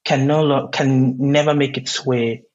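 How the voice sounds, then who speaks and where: A man from Nigeria, a poet whose first language is Igbo, speaking in an interview, pausing often with many "um"s as he searches for words. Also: mid-range voice, fairly even intonation, darkish tone, lo-fi sound. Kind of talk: reflective interview conversation.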